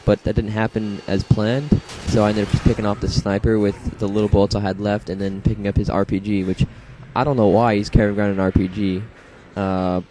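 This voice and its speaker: A young man, slightly hoarse from a congested throat, rambling casually through his own match footage with many fillers and self-deprecating asides. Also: lowish voice, flat delivery, brisk, hardly any pauses, background noise. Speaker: gameplay commentator. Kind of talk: casual gameplay commentary.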